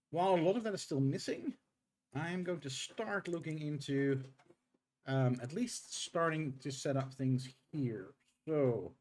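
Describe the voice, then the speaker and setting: A man's voice: mid-range voice, a little mellow. Tired-throated man coding live, thinking aloud. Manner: halting, thinks aloud with many pauses and um's. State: tired throat after a day of talking. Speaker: game developer streaming live.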